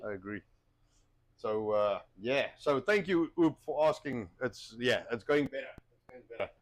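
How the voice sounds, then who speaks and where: A man's voice: mid-range voice, expressive, normal speed. A man hosting a casual cooking livestream, rambling and repeating himself while getting over a cough with a wheezy chest. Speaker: host of a cooking show.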